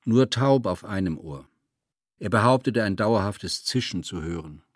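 Husky, low-voiced presidential aide, terse. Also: male voice, lively intonation, unhurried, no room sound, clean studio sound. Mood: sober, matter-of-fact. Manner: terse, matter-of-fact answers. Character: weary loyal male aide of a fallen president, answering questions. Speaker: interviewee in a staged interview. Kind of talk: scripted radio play dialogue.

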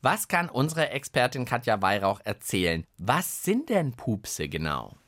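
A man's voice: mid-range voice, a little slow. A man co-hosting a children's science podcast in colloquial, playful chat. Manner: colloquial, animated, jokey.

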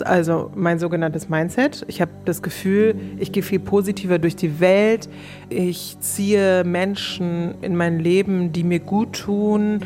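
Low-voiced woman recounting her own experience. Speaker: interviewee telling her own story. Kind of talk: casual personal recollection.

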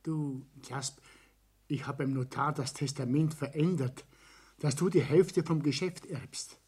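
An actor playing a money-minded middle-aged builder and father, blunt and dismissive, husky. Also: on the high side, fairly even intonation, a little slow, a little mellow. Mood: persuading, dismissive. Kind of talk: acted dialogue in a regional satire.

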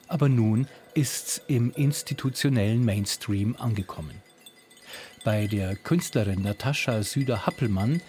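Man reading a measured radio feature. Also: medium pitch, a little mellow. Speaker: culture reporter. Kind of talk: scripted radio feature narration.

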